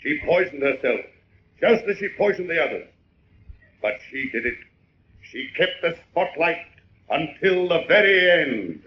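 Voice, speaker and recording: Casting: stern radio-drama policeman, slightly high voice, commanding, dull vintage sound. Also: very animated, unhurried, long pauses. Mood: grave. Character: stern police officer making an arrest. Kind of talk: 1940s radio acting. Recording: echoey, noisy, muffled old radio recording.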